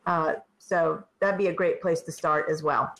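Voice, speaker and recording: A woman's voice: low-pitched, steady tone, moderate pace. A woman guest on a podcast who creates online courses, talking in a chatty way with frequent ums and uhs. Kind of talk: podcast interview.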